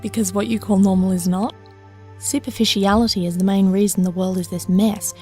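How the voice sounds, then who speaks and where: Earnest young woman, acted, idealistic old soul, formal phrasing, mid-pitched voice, audio drama, faint music behind. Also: steady tone, a little fast, a little mellow, lightly acted. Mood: earnest, reflective. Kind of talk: scripted audio drama dialogue.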